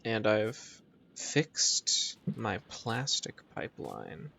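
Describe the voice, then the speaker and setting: A man's voice: fairly low pitch, huge pitch swings, extremely slow, gravelly, bright, forceful tone, slight background noise. A man streaming a game, chatting casually in a musing, dryly joking way. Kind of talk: casual live gaming chat. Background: music.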